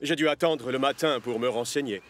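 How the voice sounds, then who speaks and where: An actor playing an apologetic, hesitant delivery newcomer in a children's cartoon. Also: male voice, medium pitch, brisk, fairly bright tone, natural voice.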